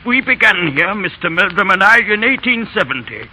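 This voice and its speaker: Sharp, high-voiced stubborn old English schoolmaster. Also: male voice, very animated, a little fast, full character voice. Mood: stubborn, indignant. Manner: querulous, donnish, old-fashioned. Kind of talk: 1930s radio acting. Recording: noisy old 1930s radio broadcast.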